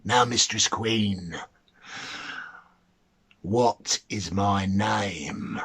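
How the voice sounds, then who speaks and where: A man voicing a gloating, spiteful little mannikin in a storybook reading, with slow, drawn-out, menacing delivery. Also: fairly low pitch, fairly bright tone, husky, pitched below the performer's own voice, full character voice. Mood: smug, menacing.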